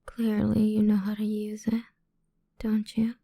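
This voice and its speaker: A woman playing a sadistic, domineering female boss in a whispered ASMR roleplay, taunting and condescending in a soft breathy voice. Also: medium pitch, monotone, a little slow, no echo. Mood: commanding, taunting.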